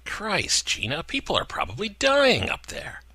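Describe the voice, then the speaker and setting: A man's voice: high-pitched, wildly swooping pitch, a little slow, sharp tone, husky, no echo, voice pushed up, heavily acted. A man voicing a wry eighteen-year-old driver who answers with dry jokes. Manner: dry, joking.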